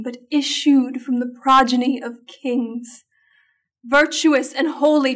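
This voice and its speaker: A woman's voice: high-pitched, a bit bright. A woman playing a defiant, condemned young holy warrior who speaks in classical verse, accusing her judges. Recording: voice distant from the mic.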